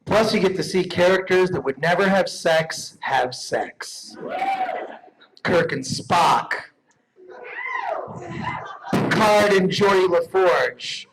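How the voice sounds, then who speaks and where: A man's voice: high voice, lively intonation, takes it slowly, long pauses. A man presenting awards live on stage in a jokey, profane, emphatic comedic manner. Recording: noisy live event, clicks.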